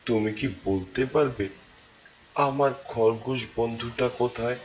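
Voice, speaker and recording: A man's voice: mid-range voice, measured pace, natural voice. A man voicing a worried, trusting cartoon elephant who talks in an anxious, questioning way. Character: worried, trusting male elephant, friend of a rabbit. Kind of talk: cartoon voice acting. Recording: dull lo-fi sound with background noise.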